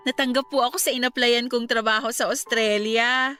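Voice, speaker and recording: A woman's voice: a little high, steady tone, normal speed, sharp tone, smooth, faint music behind. A woman playing a cheerful, caring young niece. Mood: hopeful. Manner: polite, reassuring, uses po. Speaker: niece about to work abroad. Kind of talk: Tagalog radio drama acting.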